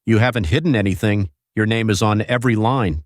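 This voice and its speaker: A man's voice: fairly low pitch, a little fast, dry recording, studio quality, lightly acted. A man playing a stern, wary bureaucratic superior in an audio sci-fi drama, blunt and reproachful.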